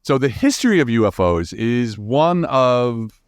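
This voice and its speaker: A man's voice: medium pitch, big pitch swings, clear, resonant tone, dry recording, clean studio sound. A man speaking as an expert guest in a podcast interview, explaining informally and emphatically, with restarts and checking tags. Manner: informal, emphatic, halting restarts with frequent "you know" and "right?". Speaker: interview guest, scientist and book author.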